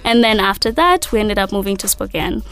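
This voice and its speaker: A woman's voice: medium pitch, expressive, brisk, fairly bright tone, clean tone. A young woman from Kenya, a guest on a radio show, upbeat and agreeable, often saying yeah and laughing lightly. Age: young adult. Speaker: guest, pageant titleholder. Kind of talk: casual radio interview. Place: radio studio.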